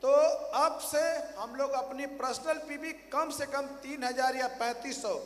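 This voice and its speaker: A hoarse man, a motivational speaker at a company training event, giving a rousing stage speech to a large audience and firing questions at the crowd, repeating them louder. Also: extremely high pitch, fairly even intonation, unhurried. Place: large hall. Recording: echoey hall, faint ambience.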